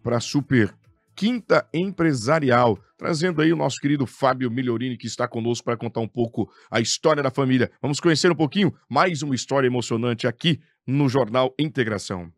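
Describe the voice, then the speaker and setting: A Brazilian man works as a radio host, interviewing a guest live. His voice sits at an ordinary male pitch. The sound is dry and close to the studio microphone. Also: quick pace, few pauses, no room sound, soft background music.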